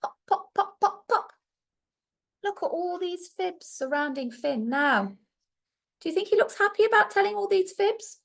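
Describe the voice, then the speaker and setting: A woman's voice: very high-pitched, expressive, unhurried, vocal fry. A woman reading a storybook aloud to young children like a primary teacher, expressively and with little asides to her listeners.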